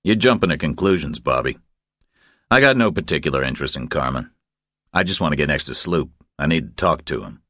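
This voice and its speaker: A man's voice: extremely low pitch, lively intonation, fairly quick, pushed and bright, dry recording, studio quality, full character voice. A man voicing a tough, commanding male drifter in an audiobook, terse, controlled and taunting. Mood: firm, threatening. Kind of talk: audiobook character dialogue.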